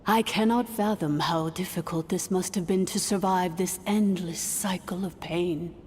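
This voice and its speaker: A woman's voice: a little mellow, lightly acted. A woman voicing an ancient solemn priestess. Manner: slow, measured and grave. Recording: long reverb, faint rumble.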